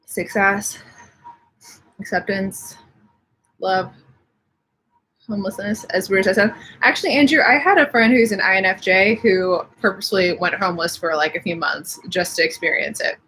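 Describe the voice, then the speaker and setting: A woman's voice: mid-range voice, moderate pace, pushed and bright, slight background noise, low-quality recording. A young woman with slightly sniffly allergies, chatting casually from home on a live stream and reading out viewer comments. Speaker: livestream host.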